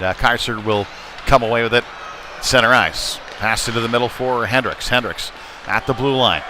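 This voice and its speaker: A man giving live ice hockey play-by-play in short clipped calls with hesitant pauses. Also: lowish voice. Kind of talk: live sports commentary. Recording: clear background noise, live rink ambience. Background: ambient sports venue noise.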